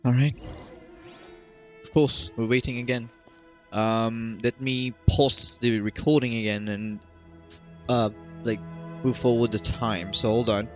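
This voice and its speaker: A man's voice: medium pitch, flat delivery, unhurried, long pauses, a bit bright, soft background music. A man giving casual, offhand commentary as he plays through a video game. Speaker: gaming video creator. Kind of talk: casual let's play commentary.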